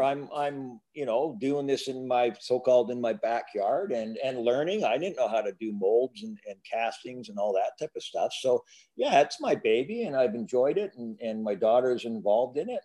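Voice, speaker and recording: A man's voice: mid-range voice, dark tone, low-quality recording. An older retired man chatting as a podcast guest, rambling with many restarts and filler phrases, joking about himself. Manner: rambling, self-deprecating, many "you know"s and restarts. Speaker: podcast guest, hobby builder. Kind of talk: casual interview chat.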